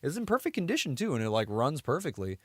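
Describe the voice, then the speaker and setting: A man's voice: a little high, huge pitch swings, fast talker, no echo, studio quality. An American man, around his thirties, chatting casually as a podcast co-host and rambling as he trails off mid-thought. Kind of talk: casual chat.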